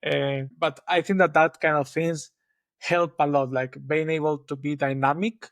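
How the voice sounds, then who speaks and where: A man with a Spanish accent, a guest on an interview podcast, thinking aloud in a relaxed way with many restarts. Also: a little high, normal speed, no room sound, studio quality. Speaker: guest engineering manager. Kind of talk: casual interview chat.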